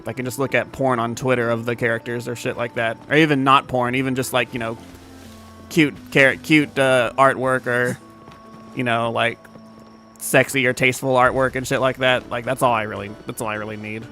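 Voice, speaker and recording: A man's voice: medium pitch, flat delivery, normal speed, pushed and bright, faint music behind. A young man chatting casually to his stream audience, laid-back and profane, with many fillers and restarts. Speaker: game streamer.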